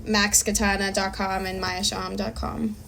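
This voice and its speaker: Woman chatting informally, mid-pitched, faint noise. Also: flat delivery, measured pace, vocal fry, fairly bright tone. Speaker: interview guest, filmmaker. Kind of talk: casual chat.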